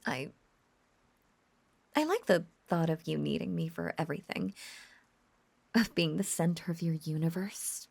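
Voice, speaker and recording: Obsessive lovesick witch, female performer, intimate audio drama. Lowish, breathy, half-whispered, teasing and possessive, recorded dry and close. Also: animated, a little mellow, no echo, exaggerated voice. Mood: lovestruck, giddy. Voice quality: husky, creaky, breathy. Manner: breathy half-whispered cooing, lingering pauses.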